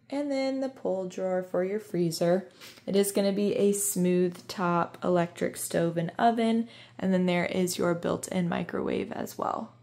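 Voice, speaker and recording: A woman's voice: on the low side, warm tone. A woman real estate agent giving an upbeat, chatty walking tour of a house, filming it herself on a phone. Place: inside and around a house, voice-over while filming.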